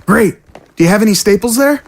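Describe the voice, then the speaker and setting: Woman as lively, blunt little boy zombie. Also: mid-range voice, a little fast. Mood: curious, casual. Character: curious, matter-of-fact little boy zombie. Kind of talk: audio drama acting.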